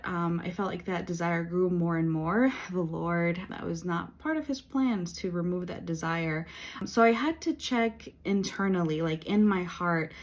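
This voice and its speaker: A woman in her late thirties talking in a personal faith vlog, earnest and reflective, with many pauses and fillers. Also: fairly low pitch, darkish tone. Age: 30s. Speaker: vlogger.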